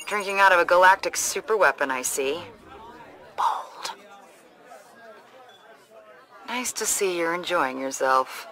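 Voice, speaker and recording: A woman voicing a brooding, troubled female warrior in a video game, speaking low and husky. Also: animated, moderate pace, pushed and bright, a faint crowd. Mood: dry. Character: brooding green-skinned female warrior assassin.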